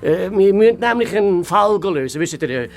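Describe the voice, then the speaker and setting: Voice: medium pitch, lively intonation, fairly quick, a little mellow, lightly acted. An actor playing a bossy, self-important fox inspector with a Swiss German accent in a children's radio play, commanding and apt to stammer. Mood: flustered. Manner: pompous, commanding, often stammering when flustered.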